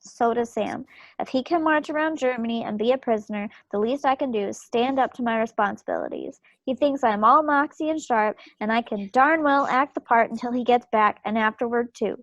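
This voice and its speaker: A woman's voice: fairly high pitch, brisk, dry recording, lightly acted. A woman playing a stubborn, spirited young 1940s war wife with folksy directness, in a play reading over a video call. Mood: defiant. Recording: muffled video-call audio.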